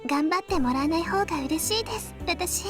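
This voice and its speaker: A woman voicing a polite, gentle teenage schoolgirl in a Japanese visual novel, speaking softly and deferentially. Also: very high-pitched, expressive, racing. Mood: gentle, cheerful. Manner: soft-spoken, polite, deferential. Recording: game music behind the voice.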